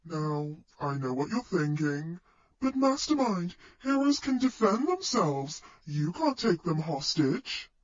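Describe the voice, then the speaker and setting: Guy doing young villain mastermind, higher voice, slow n smug threats, muffled lo-fi audio. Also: big pitch swings, exaggerated voice. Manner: taunting, slow, deliberate. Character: young male villain mastermind, cheerfully menacing, theatrical. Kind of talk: podfic villain speech. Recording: dull lo-fi sound.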